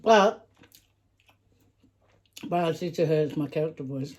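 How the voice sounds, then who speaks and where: A woman's voice: low voice, unhurried, a little mellow. A middle-aged woman chatting casually with her daughter while eating on camera, laughing often and talking between bites. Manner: chatty, giggly, talks while chewing. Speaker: mukbang vlogger, mother.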